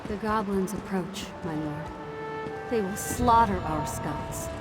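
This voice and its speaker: An actress playing a distressed warrior aide in a fantasy video game, pleading urgently and formally. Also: female voice, mid-range voice, takes it slowly, close to an everyday voice. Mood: alarmed, pleading. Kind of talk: scripted video game voice acting. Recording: loud music over the voice.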